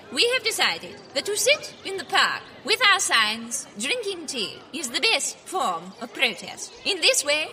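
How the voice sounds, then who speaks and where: A woman's voice: high voice, bright, forceful tone, heavily acted. A woman playing a prim, genteel suffragette declaiming a formal public statement in a comic period audio drama.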